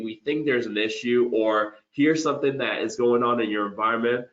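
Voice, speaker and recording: A man hosting a corporate webinar, a little hoarse as he loses his voice, talking conversationally with frequent restarts. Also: mid-range voice, fairly bright tone, dull audio. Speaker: webinar host.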